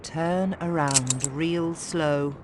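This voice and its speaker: Cocky high-pitched lawman type, very noisy backdrop. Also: drawn-out words. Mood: smug, commanding. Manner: sardonic, commanding. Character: cocky wisecracking male armed captor, brisk and bossy. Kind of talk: video game voice acting. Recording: very noisy game audio, heavy ambience.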